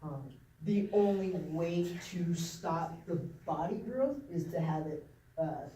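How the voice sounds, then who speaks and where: A man from Nebraska telling his story to a group of young people in an informal talk, pausing often with lots of ums and restarts. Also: on the high side, warm tone, a little hiss or hum. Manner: halting, many ums and restarts. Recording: echoey room from a distance.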